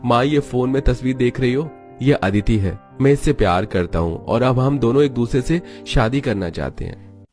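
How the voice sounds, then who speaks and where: A man's voice: medium pitch, moderate pace, a little mellow, faint music behind, natural voice. A man voicing an earnest, devoted young husband in a cartoon story. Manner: earnest, pleading.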